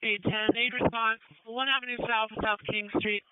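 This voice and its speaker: Clear fire dispatcher woman, tinny radio. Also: a little high, steady tone, normal speed, no room sound. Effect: two-way radio. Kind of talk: scripted radio dispatch announcement. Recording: tinny narrowband fire radio scanner audio.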